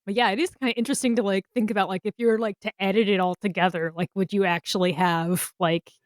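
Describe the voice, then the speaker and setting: A woman's voice: mid-range voice, fairly even intonation, quick pace, no echo. Chatty, informal woman with dry sound. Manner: giggly, informal, enthusiastic reactions. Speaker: podcast co-host. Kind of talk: casual chat.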